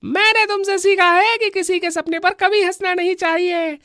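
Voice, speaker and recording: Woman putting on a piercingly high, earnest cartoon crow. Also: steady tone, normal speed, a bit bright, dry recording, studio quality. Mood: humbled, earnest. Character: bashful, repentant male crow, humble and earnest. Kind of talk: children's cartoon voice acting.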